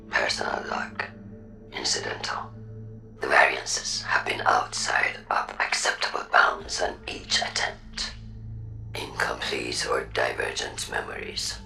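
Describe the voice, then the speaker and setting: Whispery, creaking, obsessed scientist. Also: female voice, a bass voice, pushed and bright, recorded from a distance, exaggerated voice, rough recording. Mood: cold, clinical. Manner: cold, deliberate, clinical delivery. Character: obsessed, imperious female scientist recording a personal log. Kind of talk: dramatic video game log monologue.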